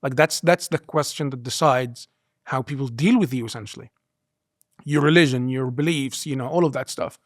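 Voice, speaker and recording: A man's voice: medium pitch, normal speed, no room sound. Egyptian man with a dry, close studio sound. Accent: mixed Egyptian and American-schooled English. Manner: earnest, many "you know" fillers, quiet trailing asides. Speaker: guest. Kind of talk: conversational interview.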